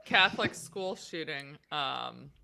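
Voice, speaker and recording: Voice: medium pitch, lively intonation, slow, vocal fry, crowd noise. A co-host of a casual political talk show, speaking hesitantly with frequent fillers and laughing asides.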